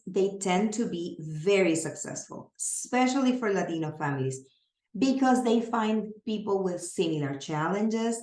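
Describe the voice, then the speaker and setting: A woman with a Spanish accent, a native Spanish speaker, giving an earnest training presentation on serving families, explaining with everyday examples. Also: medium pitch. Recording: roomy echo.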